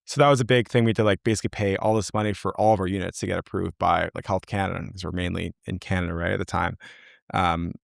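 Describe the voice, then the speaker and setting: A young man with a low, gravelly voice talks in a loose, rambling way, full of fillers and restarts. He sounds close and dry on a podcast mic. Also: fairly even intonation, quick pace, dry recording. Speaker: company founder, guest. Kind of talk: casual interview talk.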